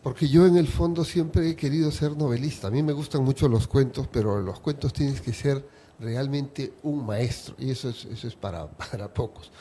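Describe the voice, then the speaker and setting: Mellow-voiced writer in interview. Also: male voice, mid-range voice, slight background noise. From Peru. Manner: reflective, unhurried, with small restarts. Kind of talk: relaxed interview answers.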